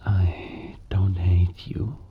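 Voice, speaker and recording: A man's voice: sits low, little pitch movement, drawn-out words, dull audio, slight background noise. A man playing a bitter, worn-down father, harsh and resentful, in a cinematic audio drama. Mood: weary, bitter. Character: bitter, worn-down 1930s German father and husband, harsh-tempered.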